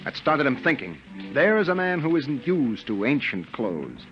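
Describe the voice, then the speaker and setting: An actor playing a tense, curt husband on the run, in 1950s radio drama style. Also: male voice, mid-range voice, very animated, moderate pace, lo-fi sound, faint music behind, lightly acted. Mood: anxious. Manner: curt, guarded, indignant.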